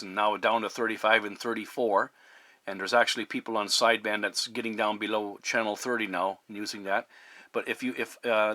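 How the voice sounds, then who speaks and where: A man chatting as a CB radio operator in a measured, courteous way. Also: fairly low pitch, sharp tone, dry recording. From Newfoundland, Canada. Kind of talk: casual radio conversation.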